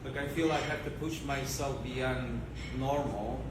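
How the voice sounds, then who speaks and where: A man's voice: mid-range voice, fairly even intonation, measured pace, background noise. A man doing a studio soundcheck, talking to the engineer and breaking into singing and scat. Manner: singing and scatting between requests. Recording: distant, echoey, loud music.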